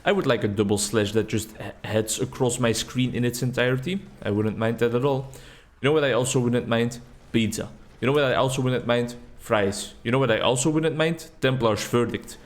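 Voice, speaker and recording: Dutch guy doing casual game commentary, medium pitch, rambly and playful with dry jokes. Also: normal speed. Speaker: gaming video host.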